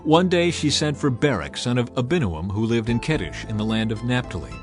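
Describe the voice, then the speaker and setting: A man reading scripted scripture narration in a measured, solemn storytelling manner. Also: mid-range voice, expressive. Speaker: narrator of a dramatized audio Bible. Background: music under the voice.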